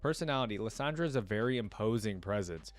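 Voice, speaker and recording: A man's voice: lowish voice, lively intonation, fast talker, faint music behind. A man hosting a reaction video, reading text aloud and commenting on it in an informal, thinking-aloud way. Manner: informal, thinking aloud between read passages.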